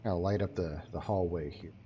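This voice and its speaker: A man gives casual live commentary while playing a game. His voice is a bit low, and his talk is loose and chatty, with quick jokes for his viewers. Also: fairly even intonation, dark tone, slight background noise. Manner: chatty, joking, talks to chat while playing. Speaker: streamer.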